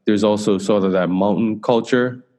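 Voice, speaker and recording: A man's voice: fairly low pitch, steady tone, moderate pace, darkish tone, big-room sound. A man from Bermuda hosting a podcast, asking questions in a casual interview style. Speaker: podcast host.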